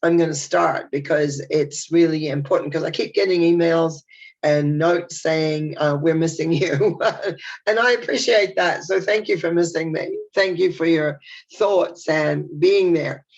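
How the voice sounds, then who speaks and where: An older woman talks through a stuffed-up nose in a chatty, meandering video message. Her voice has a gravelly fry, and the sound is dull and lo-fi. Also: sits low, a little slow. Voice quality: nasal. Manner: chatty, warm and reflective, with rambling restarts. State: congested from a head cold. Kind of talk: casual spoken video message.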